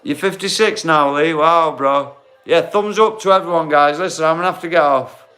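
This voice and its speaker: A man's voice: on the high side, normal speed, pushed and bright, faint music behind. Blunt, matey man in his forties. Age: 40s. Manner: blunt, matey, swears, calls everyone mate. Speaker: livestream host. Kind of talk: casual livestream chat to viewers. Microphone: phone.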